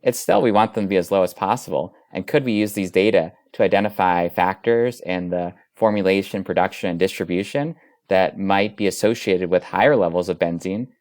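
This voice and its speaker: Male medical expert, interview guest, deep voice, explanatory delivery. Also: brisk, a little mellow, dry recording, studio quality.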